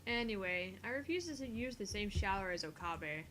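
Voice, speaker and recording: A sarcastic young woman scientist voiced by an amateur actress in a visual novel. She sounds dry and dismissive at a medium pitch, with faint noise in the recording. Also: expressive, normal speed, fairly bright tone, natural voice. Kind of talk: visual novel voice-acted read-through.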